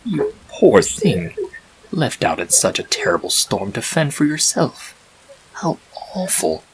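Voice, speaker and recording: A man voicing a calm, disembodied chaos spirit, sly yet pitying, speaking in a slow theatrical way. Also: mid-range voice, pitched above the performer's own voice, low-quality recording. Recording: faint hiss.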